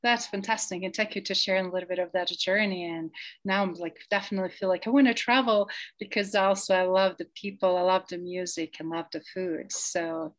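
A woman's voice: lowish voice, fairly even intonation, a little fast, low-quality recording. A woman hosting a podcast interview, speaking in an enthusiastic, encouraging way with slightly non-native phrasing. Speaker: show host.

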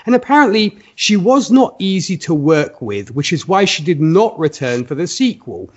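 A man's voice: sits high, big pitch swings, moderate pace, breathy edge, dull audio. A man hosting a casual film discussion podcast, talking in a rambling, hedging way and often restarting his sentences. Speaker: podcast host.

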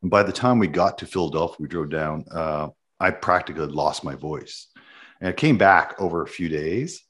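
A man's voice: low-pitched, moderate pace, fairly bright tone. An easygoing man co-hosting a casual chat, with loose talk full of fillers. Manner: easygoing, says many fillers like "like" and "mm". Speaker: podcast co-host.

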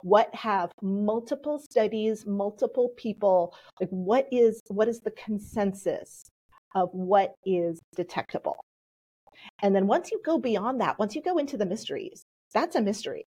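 A woman whose first language is Polish, answering interview questions in a measured, explanatory way. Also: mid-range voice, animated, dark tone, slightly rough, low-quality recording. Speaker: podcast guest.

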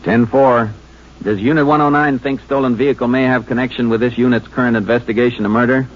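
A man acting a steady, methodical Texas Ranger investigator in a 1950s radio crime drama, terse, businesslike and matter-of-fact. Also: mid-range voice, fast talker, natural voice. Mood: brisk, official. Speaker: lawman and narrator. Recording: muffled, noisy 1950s radio recording.

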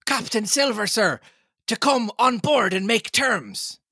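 A man voicing a sly, cheery pirate leader in an adventure storybook, mock-polite and cajoling, with drawn-out words. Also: medium pitch, expressive, darkish tone, dry recording, clean studio sound. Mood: hailing.

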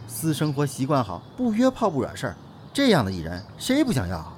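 An exasperated male celebrity agent, with a mid-pitched voice that swings up and down freely. He is chatty and colloquial in this audio drama, with noise in the background. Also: brisk. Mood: teasing, exasperated. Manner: colloquial, animated, wheedling.

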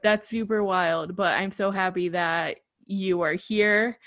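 A young woman hosting a casual podcast interview, asking questions in a friendly, relaxed way full of "like". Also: mid-range voice, slow pace, lo-fi sound. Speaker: podcast host.

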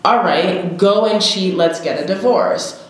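A young woman with a deep voice for a woman, co-hosting a casual podcast chat and telling stories candidly with frequent laughs. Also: measured pace. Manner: candid, storytelling, laughs often. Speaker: podcast co-host. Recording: echoey room, faint noise.

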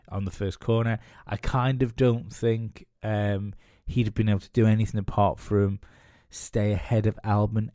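Podcast host, male, stuffy with a cold, rambling casual chat in a deep mellow voice. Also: no room sound, clean studio sound. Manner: rambling, many fillers and restarts. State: has a cold, nasal and sniffly. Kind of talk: casual solo commentary.

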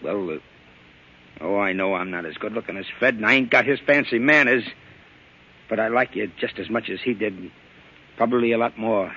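An actor playing a rough, slangy, lovesick henchman in a 1940s radio crime drama, plain-spoken and pleading. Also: on the low side, moderate pace, long pauses. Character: rough-talking lovesick henchman, loyal but exasperated. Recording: muffled, hissy old radio recording.

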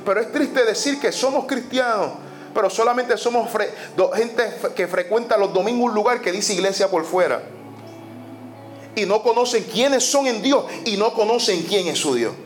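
A high-pitched man preaching, pressing his points by repeating phrases. He slips English "so" into his sentences. Soft music plays faintly behind him in the church. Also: lively intonation, normal speed. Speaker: pastor. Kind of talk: sermon. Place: church sanctuary.

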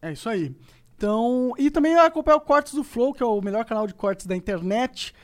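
Man, from Brazil, podcast host, high voice, casual sponsor reads, laughs a lot. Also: fairly quick. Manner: laughs often, relaxed and loose.

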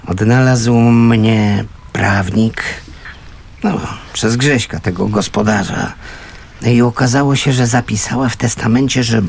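A kindly, scrappy vagrant man in a radio play. He drawls slowly in a gravelly, mid-pitched voice, wry and colloquial. The recording carries a faint noise. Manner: colloquial, gruff but gentle, wry. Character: kindly, scrappy homeless man who scavenges junk.

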